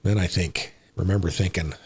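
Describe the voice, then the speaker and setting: A man in his sixties with an American accent, chatting casually as a podcast guest in a rambling, unhurried way. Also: deep voice, fairly even intonation, a little mellow, slightly rough. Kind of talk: casual conversational interview.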